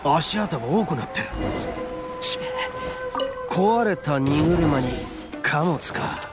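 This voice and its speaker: An actor playing a brash, hot-blooded young oni gang boss in a Japanese video game, with gravelly, rough, boastful speech. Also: male voice, fairly high pitch, expressive, slow, over loud music. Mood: resolute.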